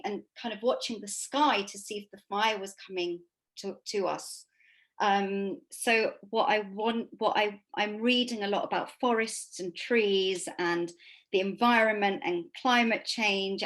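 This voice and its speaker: A woman answers interview questions in an informal, conversational way. She is reflective and halting, working her thoughts out as she speaks, at a middling pitch. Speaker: author being interviewed.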